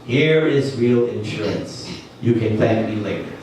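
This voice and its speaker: Slow-speaking man leading a temple crowd. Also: fairly low pitch, lively intonation, darkish tone, a little hiss or hum. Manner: slow, deliberate phrase-by-phrase leading of repetition; light joking asides to the audience. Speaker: devotional lecturer. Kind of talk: temple lecture with call-and-response. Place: temple hall with an audience. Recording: echoey hall, distant mic, music behind.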